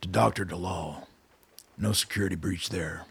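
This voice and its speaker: An actor playing a blunt, shrewd old soldier, a commanding colonel with a gravelly, husky voice in a radio drama. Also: male voice, low-pitched, fairly even intonation, moderate pace, full character voice. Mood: firm, pressing. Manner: blunt, commanding, dryly pressing.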